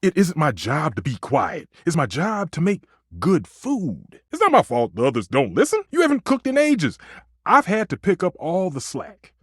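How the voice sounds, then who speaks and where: Wildly swooping, hot-tempered chef. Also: male voice, a little high, wildly swooping pitch, moderate pace, husky, no echo, studio quality, heavily acted. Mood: defensive. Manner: theatrical, volatile, emphatic. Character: temperamental, passionate male chef, a living kitchen stove. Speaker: head chef. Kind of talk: video game character acting.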